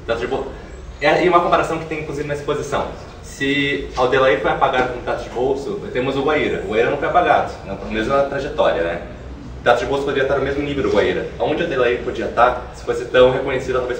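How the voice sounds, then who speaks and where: A man's voice: medium pitch, racing. A young man giving informal, colloquial interview answers, thinking aloud as he goes. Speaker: theatre artist being interviewed. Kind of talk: animated conversational interview answers. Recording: roomy, distant mic, faint noise.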